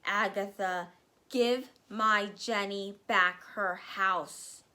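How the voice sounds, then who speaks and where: A woman's voice: steady tone, pushed and bright. A woman voicing the ghost of a dead father speaking solemnly in a storybook reading. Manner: slow, low, solemn.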